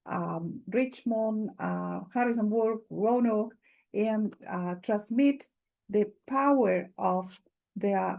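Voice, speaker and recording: A woman with a Latin American Spanish accent, a native Spanish speaker, hosting a webinar with spontaneous, halting remarks as she searches for words. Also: mid-range voice, creaky, darkish tone. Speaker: webinar host.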